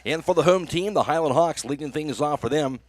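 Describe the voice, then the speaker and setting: A man's voice: a little high, fairly quick. A man working as a radio announcer, reading scripted copy in the manner of local sports broadcasts. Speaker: radio play-by-play announcer reading ads and lineups.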